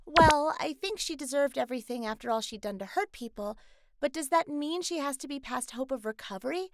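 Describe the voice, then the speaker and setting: Kind young girlfriend, fairly high voice, acted. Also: expressive, a little fast. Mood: concerned, caring. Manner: concerned, gently earnest. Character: kind, caring young girlfriend, gentle-natured. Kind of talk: dubbed manga story acting. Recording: voice over background music.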